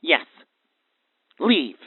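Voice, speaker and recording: An actor playing a grave, foreboding doctor of paranormal phenomena, speaking formally as a radio play guest over a phone line. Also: male voice, dry recording. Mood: pleading, despairing. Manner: formal, ominous, deliberate wording. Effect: phone line filter. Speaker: expert guest calling in to a radio show. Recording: thin, tinny phone line.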